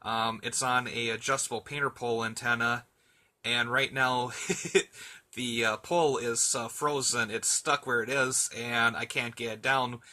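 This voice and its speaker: Man, very bright forceful voice, mid pitch, chatty, easygoing ham radio talk. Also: level tone, unhurried. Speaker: amateur radio operator. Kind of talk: casual radio chat.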